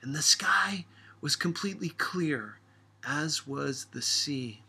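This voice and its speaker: Male reader for literary audiobook. Husky edge, bright tone, measured scripted narration. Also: on the high side, animated.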